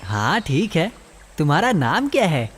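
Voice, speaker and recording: A performer plays a young male monkey in a children's cartoon, trusting and friendly. The voice sits at an in-between pitch and bounces up and down with lots of animation, with music underneath. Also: very animated, a little slow. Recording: music behind the voice.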